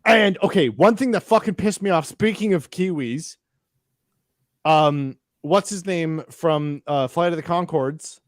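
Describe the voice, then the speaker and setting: A man co-hosting a podcast, casually discussing films in a profane, animated storytelling way. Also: fairly high pitch, measured pace, no room sound, studio quality. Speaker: podcast co-host. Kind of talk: casual film discussion, chat.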